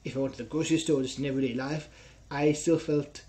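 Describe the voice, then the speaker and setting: A man's voice: on the high side, steady tone, quick pace, mellow. A young man in his twenties from Israel with an Israeli accent, a personal trainer telling his story in a casual interview, earnest and often tagging his sentences with "right?". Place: small room at home. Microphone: headset.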